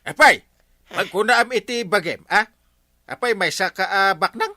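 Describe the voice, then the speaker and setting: A man's voice: high voice, expressive, normal speed, bright, forceful tone, no room sound, clean studio sound, heavily acted. A man playing a blustering, easily offended village husband in an Ilocano comedy radio drama, with an Ilocano accent. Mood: furious, confronting. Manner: blustering, exclaiming, confrontational. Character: hot-tempered village husband, quick to take offence.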